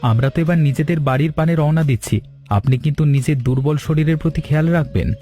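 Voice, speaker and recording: A man acts as a hardworking young son-in-law in a cartoon tale. He sounds mellow in tone and speaks respectfully and reassuringly, with quiet music underneath. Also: a little high, fast talker, lightly acted. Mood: caring. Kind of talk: cartoon story dialogue.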